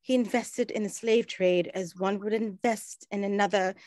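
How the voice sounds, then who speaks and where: A woman playing an earnest 1920s woman in an amateur scripted play, speaking in a measured way. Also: medium pitch, steady tone, a little mellow, no echo, studio quality, natural voice. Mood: solemn. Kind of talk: amateur scripted stage acting.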